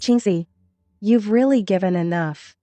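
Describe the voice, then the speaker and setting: An actress playing a kind, caring orphanage director who speaks gently with concern. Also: female voice, medium pitch, measured pace, close to an everyday voice. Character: kindly older woman, caring orphanage director. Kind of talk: dubbed drama acting.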